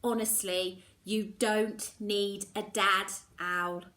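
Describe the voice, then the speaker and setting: A woman's voice: medium pitch, steady tone, takes it slowly, sharp tone, echoey room. A woman voicing a chatty, bossy teenage schoolgirl who talks with teasing emphasis.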